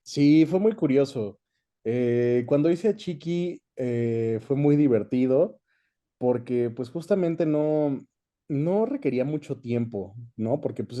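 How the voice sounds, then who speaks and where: A man's voice: mid-range voice, measured pace, warm tone. A young Mexican man chatting easily as an interview guest, reminiscing about his work in a casual way. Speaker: guest, voice actor.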